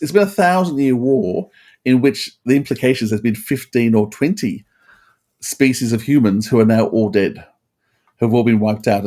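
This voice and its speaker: A man's voice: fairly low pitch, warm tone. A man presenting informative commentary on a podcast.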